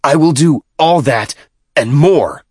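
A man's voice: medium pitch, animated, moderate pace, breathy edge, dry recording, clean studio sound. Man playing an irate, spitting alien minister. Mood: furious threat. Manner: spitting, indignant, forceful. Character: irate, accusing male alien vice minister. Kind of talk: audiobook character voice.

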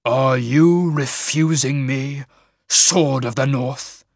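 A man voicing a wrathful, imperious shaman mage lord in a fantasy audiobook, with booming, commanding pronouncements. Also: takes it slowly, dry recording, studio quality. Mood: menacing, grudging. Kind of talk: dramatic fantasy audiobook character.

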